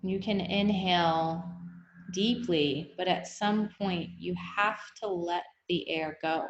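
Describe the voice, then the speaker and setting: A woman guiding a meditation, speaking in an unhurried, gently instructive way with long pauses. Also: lowish voice, dull audio, a little hiss or hum. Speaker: meditation guide. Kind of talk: guided meditation, body scan. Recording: echoey room.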